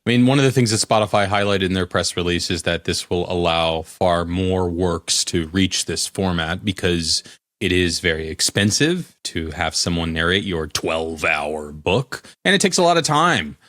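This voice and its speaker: Low-voiced man explaining on a podcast. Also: normal speed, a bit bright, no room sound, clean studio sound. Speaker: podcast co-host. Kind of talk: casual tech chat.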